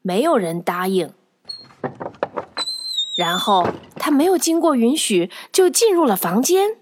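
A woman narrating a children's story as a scripted storyteller, with animated delivery. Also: fairly high pitch, a little slow, background noise. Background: background music.

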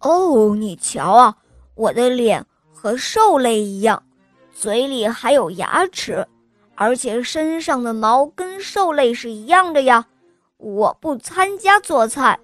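A sly, excitable male bat from a children's storybook, put on by a woman narrator, brags loudly with drawn-out exclamations. The voice sits high and moves slowly. The recording is close and dry. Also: no echo, soft background music. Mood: boastful. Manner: loud, bragging, drawn-out exclamations.

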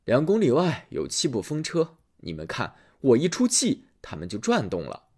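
A man doing a hushed, deep-voiced wind-blower. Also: huge pitch swings, normal speed, slightly rough, full character voice. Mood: matter-of-fact. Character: odd male wind-blower sitting in a tree.

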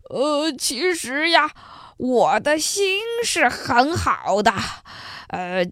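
A woman voicing a wicked, scheming old male wolf in a children's story, wheedling and whining with drawn-out words. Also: a little high, creaky, sharp tone, dry recording, studio quality, exaggerated voice.

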